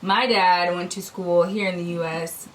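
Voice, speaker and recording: A woman's voice: fairly low pitch, steady tone, normal speed, fairly bright tone. Young woman host, casual storyteller, recorded a bit far from the mic. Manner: chatty, storytelling with many fillers. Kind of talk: casual chat. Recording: distant mic with faint noise.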